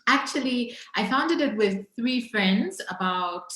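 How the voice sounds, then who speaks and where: A woman's voice: on the high side, lively intonation, slow, a bit bright. Zambian woman in an echoey room. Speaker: interview guest, entrepreneur. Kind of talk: personal storytelling in a conversational interview. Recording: roomy, echoing sound.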